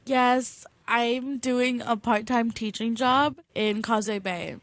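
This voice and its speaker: A young woman with a fairly high-pitched voice talks casually in an interview. She is chatty and quick to laugh, explaining things in a conversational way. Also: steady tone. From Bangladesh. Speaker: interview guest, part-time English teacher.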